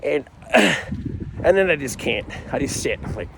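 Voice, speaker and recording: A man's voice: medium pitch, very animated, a little slow, background noise. A middle-aged American man telling a comic personal story in a casual, rambling, self-deprecating way with frequent asides.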